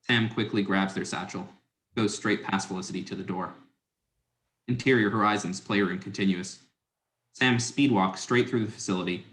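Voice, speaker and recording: Low-voiced man narrating in an echoey room. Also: a bit bright. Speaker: narrator reading stage directions. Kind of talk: scripted dramatic narration. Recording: roomy, echoing sound.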